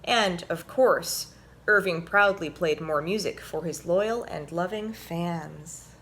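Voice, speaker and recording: A woman presenting and reading a children's story aloud in an expressive, clearly told storytelling manner. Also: on the low side, takes it slowly, slight background noise.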